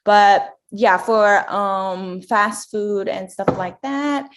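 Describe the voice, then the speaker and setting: A young woman from Macau co-hosting a podcast, chatty and animated, full of fillers like "like" and "you know". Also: medium pitch, very slow. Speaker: podcast co-host. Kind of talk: casual chat.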